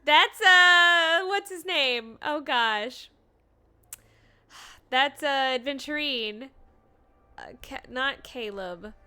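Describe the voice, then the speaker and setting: A woman's voice: high voice, extremely slow, long pauses, pushed and bright. A woman game streamer giving casual live playthrough commentary, musing and reacting in a playful, fannish way.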